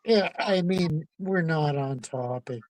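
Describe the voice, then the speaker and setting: A man in a rambling, casual livestream chat, haltingly repeating words and phrases and swearing casually. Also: a little high, a little mellow, no echo, poor audio. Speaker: livestream participant reading out chat.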